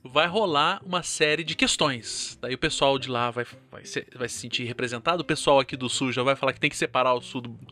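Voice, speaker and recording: Bright-voiced man with a Curitiba accent. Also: mid-range voice, fairly quick, hardly any pauses. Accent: Curitiba Brazilian Portuguese. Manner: animated, informal, swears casually. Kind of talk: casual podcast chat.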